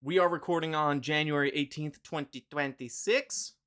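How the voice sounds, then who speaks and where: A man's voice: a little high, fairly even intonation, moderate pace, fairly bright tone, clear, resonant tone. A man hosting a podcast in casual chat, joking and rambling with lots of fillers and restarts. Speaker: podcast host.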